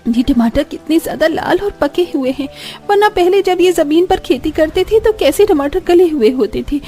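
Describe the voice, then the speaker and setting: A woman acts out a hardworking young village daughter-in-law from a cartoon. The voice is strikingly high and earnest, with music playing underneath. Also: expressive, moderate pace, dark tone. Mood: excited. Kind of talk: cartoon story dialogue.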